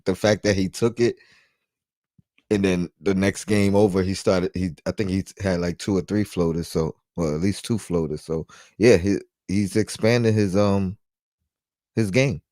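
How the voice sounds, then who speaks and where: Deep-voiced man co-hosting a fan podcast. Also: normal speed, a little mellow, no echo, studio quality. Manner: laid-back, easygoing, says "you know what I mean" often. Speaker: podcast co-host. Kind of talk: casual fan podcast chat.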